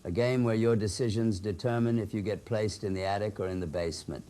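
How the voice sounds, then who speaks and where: A man playing an older gentleman spirit in a horror audio drama, formal, cryptic and theatrically unhurried. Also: lowish voice, fairly even intonation, close to an everyday voice. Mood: cryptic, grave.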